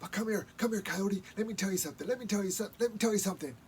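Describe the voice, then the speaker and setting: A man's voice: slight background noise, close to an everyday voice. A man doing a sly, smug raven. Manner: low, slow, smug drawl. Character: sly, calm male raven trickster.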